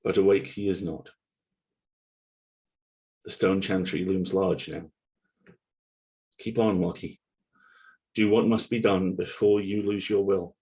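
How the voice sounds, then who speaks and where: A man acting a brooding, guilt-haunted narrator in an audio drama read, with a measured, solemn, literary delivery. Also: deep voice, fairly even intonation, dark tone. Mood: somber, brooding. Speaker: voice actor at a table read.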